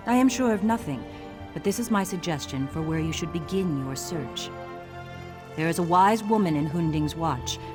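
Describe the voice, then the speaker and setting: A woman's voice: deep voice, lightly acted. A woman acting a composed, knowledgeable scout in a video game, explaining things formally. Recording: game music clearly behind voice.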